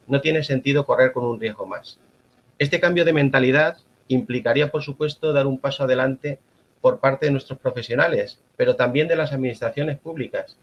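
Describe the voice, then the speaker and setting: A man from La Mancha with a Spanish accent giving a formal, measured conference talk as an agronomist. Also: medium pitch, highs cut off. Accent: Spanish (Spain). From La Mancha, Spain. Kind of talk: prepared conference presentation.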